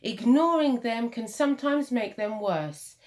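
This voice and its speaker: Slow, animated woman reading a children's storybook. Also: on the high side. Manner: deliberate, dramatic pauses and emphasis for young listeners. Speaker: primary school teacher reading a picture book.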